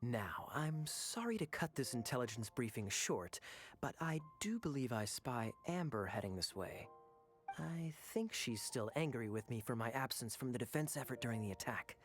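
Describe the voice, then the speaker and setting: A man's voice: mid-range voice, sing-song, natural voice. Man playing a suave, sly young knight captain. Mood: coy.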